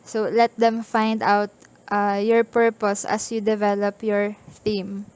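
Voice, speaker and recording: A woman's voice: medium pitch, little pitch movement, slow pace, darkish tone, clean tone. A woman with a Filipino accent lecturing as a teacher, explaining step by step in an instructional way with frequent check-ins. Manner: instructional, mixes English and Tagalog, frequent check-in tags.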